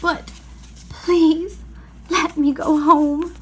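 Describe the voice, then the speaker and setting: A kind, earnest little girl, acted by a woman reading a storybook, with a very high voice. She is polite and pleads sincerely. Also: little pitch movement, extremely slow, fairly bright tone, a little hiss or hum, exaggerated voice. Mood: polite, uneasy.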